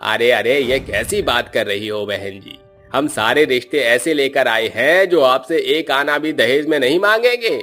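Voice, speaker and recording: A man's voice: mid-range voice, unhurried, pushed and bright, faint music behind. A man voicing a sly, talkative village priest who draws out his words and repeats them for emphasis. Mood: coaxing.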